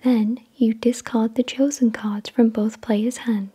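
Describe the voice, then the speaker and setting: A woman whispering softly and breathily in ASMR style, reading aloud in a deliberate, measured way. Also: fairly high pitch, little pitch movement, slightly rough. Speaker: ASMR video creator and host. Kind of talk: soft-spoken ASMR, reading card text aloud.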